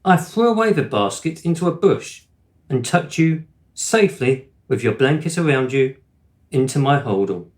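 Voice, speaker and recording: A man playing an earnest, honest father in a radio play, recounting things deliberately. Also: medium pitch, lively intonation, close to an everyday voice. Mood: confessing. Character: earnest, honest father confessing to his child.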